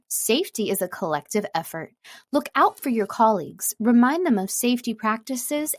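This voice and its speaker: A woman delivering scripted voice-over narration as a voice artist, articulating clearly and reading in a measured way. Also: a little high, no echo.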